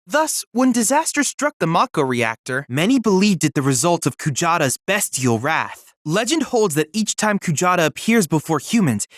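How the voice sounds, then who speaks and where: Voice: medium pitch, no echo, studio quality, close to an everyday voice. An actor playing a polite, scholarly teenage boy android research assistant in a video game, speaking in a formal, precise, courteous way. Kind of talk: scripted video game character dialogue.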